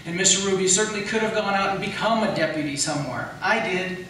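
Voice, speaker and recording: A man's voice: high voice, fast talker, a bit bright. Firm American man in a cavernous echo. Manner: firm, explanatory, repeats points for emphasis. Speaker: county attorney seeking re-election. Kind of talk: campaign debate speech. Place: echoey hall at a public debate. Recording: very echoey room, distant mic, faint noise.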